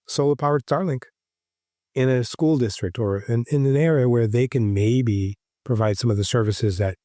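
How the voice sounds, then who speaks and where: A man's voice: mid-range voice, moderate pace, warm tone, no room sound, clean studio sound. A man from Haiti on a roundtable panel, making an earnest case with rhetorical questions. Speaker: physician panelist. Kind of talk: roundtable discussion.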